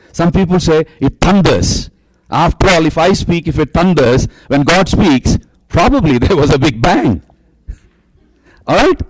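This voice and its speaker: A man's voice: on the high side, no room sound, rough recording. A man giving an emphatic preaching-style answer in a church question session, often checking in with the audience through rhetorical questions. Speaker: guest speaker answering questions. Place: church hall.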